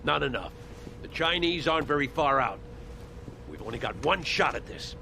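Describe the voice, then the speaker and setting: An actor playing a stern, determined army colonel who gives curt military orders in a game cutscene. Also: male voice, fairly high pitch, animated, moderate pace, gravelly, sharp tone, slight background noise, heavily acted. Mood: commanding. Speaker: army colonel commanding officer. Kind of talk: video game cutscene acting. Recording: game soundtrack music behind.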